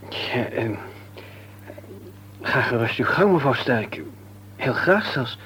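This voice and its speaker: An actor playing a modest, courteous gentleman in a radio play, speaking politely, formally and with some hesitation. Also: medium pitch, lively intonation, slow, slightly rough, exaggerated voice. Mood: cautious, polite. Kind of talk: Dutch radio play acting. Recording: faintly noisy old radio recording with hum.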